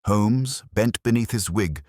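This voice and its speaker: A man's voice: fairly low pitch, lively intonation, slow, darkish tone, no room sound, studio quality. A man narrating a mystery audiobook in the first person, with formal, dramatic storytelling.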